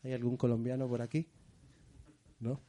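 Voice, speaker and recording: A man's voice: mid-range voice, level tone, normal speed, warm tone. A middle-aged man from Peru hosting a live poetry segment before an audience, moving from theatrical introductions to loose, self-mocking asides.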